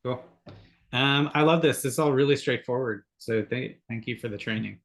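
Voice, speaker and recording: A man's voice: mid-range voice, moderate pace. A man on a work video call, curious and often restarting his questions. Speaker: colleague asking questions. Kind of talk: casual work meeting.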